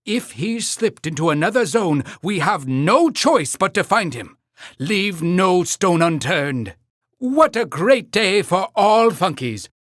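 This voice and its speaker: Excitable little-town creature mayor for a video game: high-pitched, formal, grandly proclaiming, dry studio sound. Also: male voice, slow, dry recording, full character voice. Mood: grave, urgent, then triumphant. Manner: theatrical, proclaiming, formal storybook phrasing. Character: animated Funkey town mayor, earnest, grandly celebratory storyteller. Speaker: mayor narrating game cutscenes. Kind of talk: scripted game cutscene narration.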